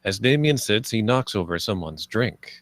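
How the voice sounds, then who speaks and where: A man's voice: lowish voice, lively intonation, moderate pace, a bit bright, no room sound, studio quality. A man reading scripted stage directions as the narrator of a live screenplay table read.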